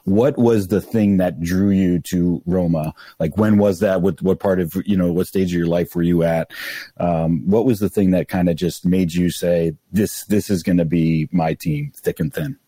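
A man hosts a podcast and asks long, loose questions with fillers in between. His voice is very low and mellow. It sounds dry and close to the mic. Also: steady tone, normal speed, breathy edge, no echo. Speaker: podcast host. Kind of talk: casual podcast interview.

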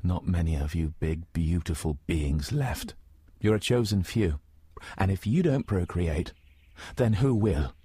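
A man's voice: deep voice, husky, no room sound, heavily acted. A man voicing a sycophantic, snobbish confidant who rambles pedantically in an improvised comic radio play. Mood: earnest flattery. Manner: flattering, halting, pedantic.

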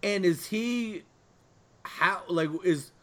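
A man hosting a podcast, chatting casually in an informal, halting way. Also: high-pitched, bright, forceful tone. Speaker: podcast host. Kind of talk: casual chat.

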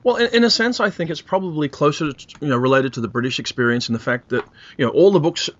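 A man's voice: on the high side, fast talker. A middle-aged man co-hosting a podcast in a casual, conversational way. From Australia. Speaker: podcast co-host. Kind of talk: casual chat. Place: remote internet call. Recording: muffled internet call.

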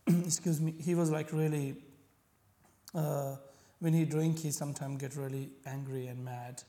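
A man from Pakistan with a Pakistani accent and Punjabi as his first language, speaking haltingly as a podcast guest. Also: on the high side, fairly even intonation, mellow. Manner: halting, searching for words, frequent fillers. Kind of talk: conversational interview.

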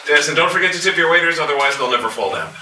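A man speaking casually as a convention panelist, in a halting, informal way. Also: a little high, expressive, noisy background. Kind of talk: casual panel talk with jokes. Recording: echoey room, distant mic.

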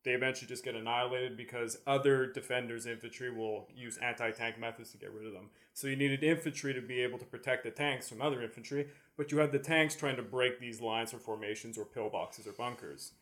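Canadian man explaining in a medium-pitched voice. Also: fairly even intonation, brisk, hardly any pauses, a bit bright. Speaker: podcast co-host. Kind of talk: casual explanatory chat.

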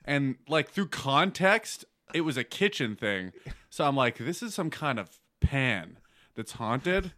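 A man's voice: mid-range voice, big pitch swings, slow pace, pushed and bright, dry recording, studio quality. A young man co-hosting a casual podcast, joking crudely and swearing often. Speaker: podcast co-host.

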